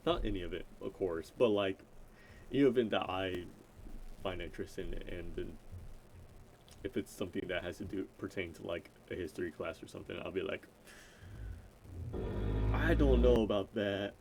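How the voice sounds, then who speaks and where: Young man with a deep, rambling voice. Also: fairly even intonation, brisk, creaky, darkish tone, slight background noise, low-quality recording. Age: young adult. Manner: rambling, hesitant, self-interrupting. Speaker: gaming vlogger introducing himself. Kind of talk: unscripted casual chat.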